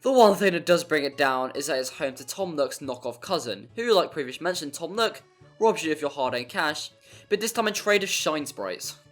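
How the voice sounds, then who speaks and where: A man's voice: a little high, expressive, normal speed, a bit bright. A man narrating a scripted video game review in a wry, jokey manner, given to exaggeration. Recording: voice-over with music behind.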